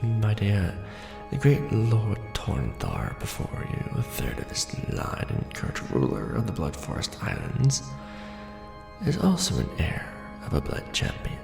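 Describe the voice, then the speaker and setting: A deeply gravelly, courtly vampire lord acted out by a man murmurs in a theatrical ASMR roleplay. His low delivery is formal, deliberate and breathy, with a brooding, possessive air. The voice sits in a roomy echo with music playing behind it. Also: huge pitch swings, full character voice. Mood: courtly, explaining. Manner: formal, hushed, deliberate, theatrical aristocratic address.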